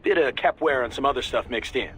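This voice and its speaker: An actor playing an easygoing, knowledgeable mission support adviser in a video game, giving a casual, explanatory briefing in a husky voice. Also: male voice, medium pitch, fast talker, fairly bright tone, soft background music, close to an everyday voice. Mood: informative, relaxed. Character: easygoing male military intelligence support adviser, knowledgeable, informal.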